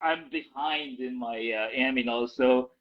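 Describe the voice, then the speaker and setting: Man, interview guest, hesitant, lots of fillers, mid pitch, slightly muffled audio. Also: normal speed. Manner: hesitant, many fillers and restarts. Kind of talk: casual interview answers.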